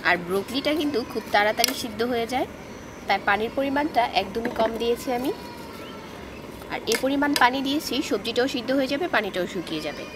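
A woman narrates a home cooking video, moving briskly from one step to the next with a calm, explanatory manner. Her voice sits a little high, and a steady noise runs under the recording. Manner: calm, explanatory, gives tips. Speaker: cooking channel host. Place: outdoors on grass, cooking on a stove.

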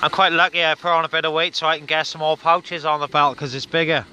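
A man chatting casually over CB radio from a parked car on open moorland, relaxed with lots of fillers. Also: on the high side, fast, a little hiss or hum, rough recording. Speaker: CB radio operator and vlogger. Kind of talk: casual on-air radio chat.

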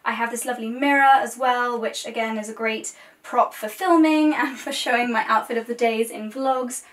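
A young English woman with a fairly high voice presents a chatty home tour. She talks informally to a camera a few steps away, so the sound is echoey and a little distant. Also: steady tone, moderate pace, fairly bright tone. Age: young adult. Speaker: lifestyle vlogger and host. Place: rooms of a house, indoors. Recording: echoey rooms, distant mic, some noise.

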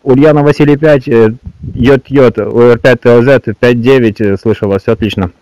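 A man's voice: mid-range voice, steady tone, a little fast, darkish tone, lo-fi sound. A man, speaking as an amateur radio operator making calls and commenting between them. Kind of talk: radio calls with phonetic alphabet and muttered commentary. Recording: noisy radio static with clicks.

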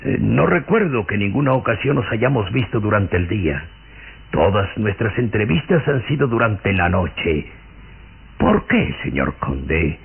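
Stern aging father, blustering and gravelly, low pitched, old radio serial. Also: very animated, a little slow, narrow, tinny audio, full character voice. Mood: musing, probing. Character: aging father, fussy, stern, indignant gentleman.

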